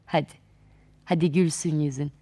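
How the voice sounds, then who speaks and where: A woman voicing a calm, wise old grandfather in a children's storybook, fond and gently admonishing. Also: low voice, moderate pace, no echo, pitched below the performer's own voice. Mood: fond, consoling. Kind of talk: storybook character voice.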